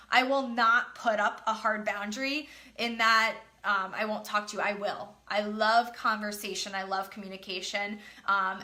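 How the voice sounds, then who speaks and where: Woman coach chatting motivational stuff, very bright voice, bit nasal like she has a cold, repeats stuff for emphasis. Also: medium pitch. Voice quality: slightly nasal. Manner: emphatic, repeats key phrases. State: congested from a cold. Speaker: business coach. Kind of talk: casual motivational talk to camera.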